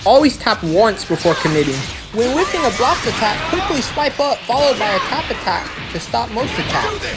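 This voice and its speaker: A woman's voice: mid-range voice, rough recording. A woman giving an instructional, step-by-step voice-over as the narrator of a gameplay guide. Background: music.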